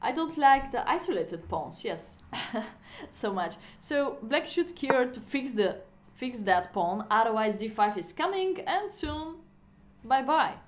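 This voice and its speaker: Woman streaming chess from home, bit high voice, laughs a lot, chatty. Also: big pitch swings, slow. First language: Bulgarian. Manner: chatty, laughing, non-native phrasing. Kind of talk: casual live-stream commentary. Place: indoor room at home.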